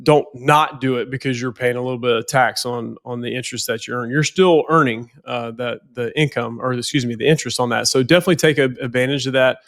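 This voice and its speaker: A man's voice: mid-range voice, steady tone, fast talker, hardly any pauses, a bit bright. A financial planner with a head cold, sounding nasal, talking casually on a podcast and often repeating words as he goes.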